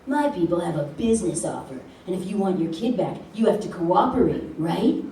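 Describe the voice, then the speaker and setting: A woman's voice: fairly low pitch, animated, a little fast, dark tone, natural voice. A woman playing a smug, laid-back kidnapper in a comedic stage radio play. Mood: smug threatening. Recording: very echoey distant hall, faint noise.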